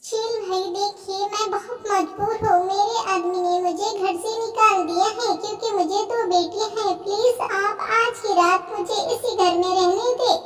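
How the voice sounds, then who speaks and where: Cartoon mother sparrow, extremely high squeaky pitch, earnest, pleading, roomy echo. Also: fairly even intonation, moderate pace, few pauses, a bit bright. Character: gentle, pleading mother sparrow, homeless and grateful. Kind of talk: Hindi moral-story cartoon voice acting. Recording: roomy echo, distant from mic.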